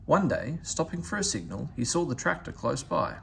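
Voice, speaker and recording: Animated man reading a children's story. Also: mid-range voice, normal speed, gravelly, slight background noise. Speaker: storybook reader. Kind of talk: expressive read-aloud narration for children.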